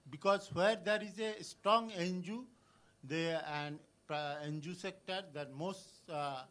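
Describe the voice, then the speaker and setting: A man from Bangladesh speaks from the floor of a conference, stopping often to restart his sentences. His voice sits a little high. His words come unevenly, with many fillers. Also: measured pace, a bit bright. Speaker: audience member at a conference. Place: conference hall.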